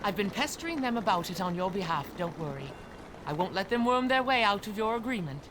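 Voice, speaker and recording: Woman acting as a kindly landlady, motherly, reassuring, swooping lively pitch, audio drama, noisy ambience behind. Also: fairly quick, lightly acted. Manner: reassuring, affectionate. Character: kindly, motherly landlady fond of street kids. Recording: clear background noise under voice.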